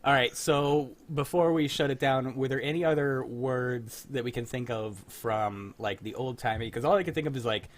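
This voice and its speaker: A man hosting and steering a relaxed, casual chat with his cast. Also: medium pitch, normal speed, few pauses.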